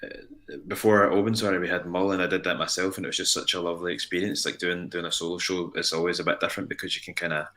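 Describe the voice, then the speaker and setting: Low bright-toned Scottish man, rambling. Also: steady tone, a little hiss or hum. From Scotland. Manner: rambling, halting, repeats words. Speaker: musician guest. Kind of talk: casual radio chat.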